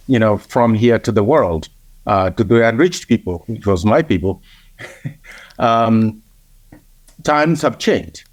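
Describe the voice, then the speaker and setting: A middle-aged man from Kenya, speaking as an interview guest in a measured way with frequent pauses. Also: mid-range voice, dry recording. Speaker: interview guest, organization president. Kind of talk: conversational interview.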